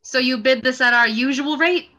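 A woman's voice: high-pitched, normal speed, sharp tone. A young woman playing a skeptical, no-nonsense male plumber in an emphatic screenplay reading. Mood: suspicious. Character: skeptical, stern Brooklyn plumber man.

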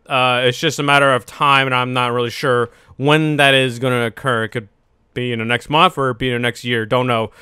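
Male gaming video creator, casual rambling explainer, clear bright tone, dry recording. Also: medium pitch, flat delivery, a little fast, no room sound. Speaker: YouTube gamer.

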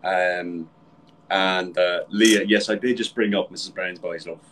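A man's voice: low voice, steady tone, unhurried, a bit bright, a little hiss or hum, rough recording. A man, a voice actor, chatting casually on a video call from a home room and swearing now and then. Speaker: voice actor guest. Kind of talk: casual chat. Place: home room with shelves, indoors.

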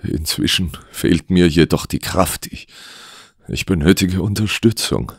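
A man voicing a sick, desperate police inspector who believes he is cursed, speaking in an urgent, halting manner for an audio story. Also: low voice, breathy edge, no room sound, clean studio sound, exaggerated voice. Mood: weary pleading.

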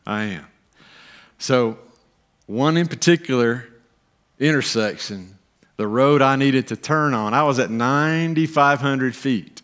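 A middle-aged man preaching a sermon as pastor on a church stage, animated, with pauses and emphatic rises. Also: medium pitch.

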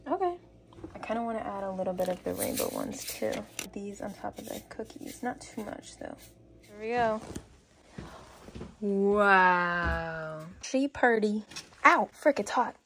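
A woman's voice: medium pitch, slight background noise. A young woman chatting casually through a bake-with-me vlog in her home kitchen, narrating each step as she goes. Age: young adult. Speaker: vlogger.

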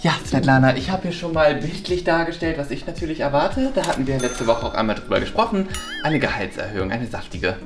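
A man's voice: medium pitch, lively intonation, fast talker, reverberant, music in the background, natural voice. A man playing a dry, sarcastic art teacher in a comedy sketch. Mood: sniping. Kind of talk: improvised comedy sketch acting.

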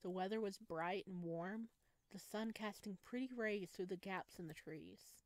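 A woman streamer reading a visual novel aloud in expressive scripted narration, close to the microphone. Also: medium pitch, a little slow, no echo.

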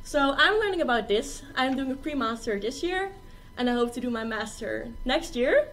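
A woman's voice: high-pitched, lively intonation, moderate pace, fairly bright tone, slight background noise. A young adult woman, a student giving a prepared presentation. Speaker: student speaker. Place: small stage in a presentation room.

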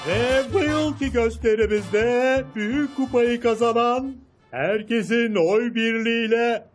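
A man playing an excitable car show announcer in a cartoon dub, with a showy, formal way of presenting. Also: extremely high pitch, unhurried, soft background music. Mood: ceremonial. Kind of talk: Turkish cartoon dub acting.

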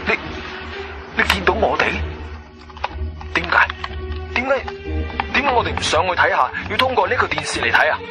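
A determined, daring man, the hero of a Cantonese radio play from Guangzhou. His delivery is assertive and highly animated in pitch. Background music runs clearly under the dialogue. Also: moderate pace, pauses often. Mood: astonished. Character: bold, determined adventurer hero, adult man. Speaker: radio drama actor.

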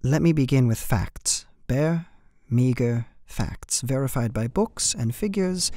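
A man's voice: mid-range voice, animated, takes it slowly, mellow, no echo, studio quality. An actor playing an earnest, uneasy young English solicitor, narrating his journal formally in a radio play. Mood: earnest, guarded. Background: plucked guitar music.

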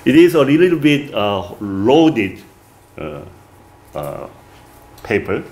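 A middle-aged man with a strong Korean accent giving an academic lecture at a podium, reading from a manuscript with many halting "uh" fillers. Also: mid-range voice, very animated, darkish tone. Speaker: visiting lecturer. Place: wood-paneled reception room, indoors.

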